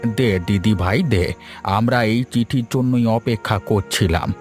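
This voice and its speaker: A man playing a teasing, placating old village grandfather in an animated story. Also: lowish voice, measured pace, over music, close to an everyday voice. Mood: pleased. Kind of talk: Bengali animated story dialogue.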